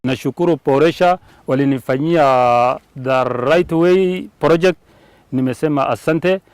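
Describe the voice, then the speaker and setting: A man's voice: on the high side, measured pace, darkish tone, smooth, no room sound. A man giving a spontaneous interview testimonial as a business owner.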